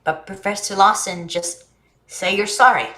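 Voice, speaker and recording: A woman's voice: medium pitch, moderate pace, sharp tone, close to an everyday voice. A woman as earnest young boy, echoey room. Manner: earnest, blunt, childlike. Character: earnest, eager young boy, trusting and frank. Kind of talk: staged play reading.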